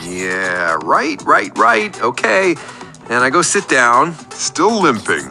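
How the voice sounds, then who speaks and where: A man's voice: medium pitch, big pitch swings, slow pace, creaky, bright, forceful tone, soft background music, exaggerated voice. An actor playing a needy, self-pitying man calling a radio show, with a confessional, theatrical and wordy storytelling manner. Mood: eager, fussy. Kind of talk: scripted comedic radio play monologue.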